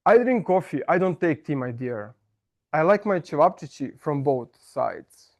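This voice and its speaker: A man with a Serbian accent delivers a comic club speech in a fairly high voice. He pokes fun at himself and stumbles into small restarts. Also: expressive, a little mellow. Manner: self-mocking, playful, halting restarts. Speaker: club speaker. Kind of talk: humorous prepared speech. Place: meeting room.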